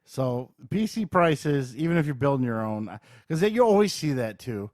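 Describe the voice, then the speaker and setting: Male podcast co-host, mid-pitched and very lively in intonation, dry sound, sardonic casual grumbler. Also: moderate pace, no room sound. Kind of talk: casual chat.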